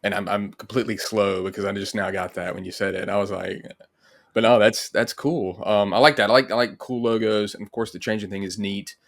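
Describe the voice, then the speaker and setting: A man with a fairly low voice hosts an interview on a video call. He talks quickly and casually, agreeing readily with his guests. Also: steady tone, creaky. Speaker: interviewer, host. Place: indoor room, video call.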